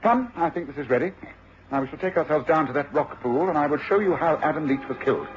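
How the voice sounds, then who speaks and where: Man as an assured, precise detective for radio drama; animated intonation, dulled old recording. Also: a little high, fast, highs cut off, a little hiss or hum, natural voice. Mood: brisk, keen. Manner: authoritative, didactic, precise.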